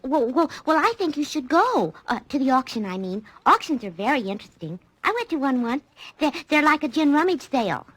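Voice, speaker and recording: An actress playing a naive, eager, scatterbrained young secretary in a 1940s radio drama, gushing and artless. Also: female voice, high-pitched, big pitch swings, brisk, a bit bright, dry recording, rough recording.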